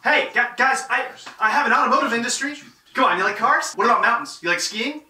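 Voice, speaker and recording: A man's voice: fast talker, heavy background noise. A man voicing a country as a pushy youngest sibling, pleading for attention. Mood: eager pleading. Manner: pleading, pushy salesman pitch. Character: Slovakia as eager youngest sibling, male. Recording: roomy echo under loud music.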